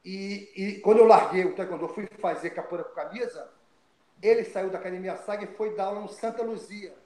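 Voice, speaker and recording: Animated older Brazilian man with a higher voice. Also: a little fast, echoey room. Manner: animated, repeats words and restarts. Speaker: guest, retired fighter. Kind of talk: storytelling interview.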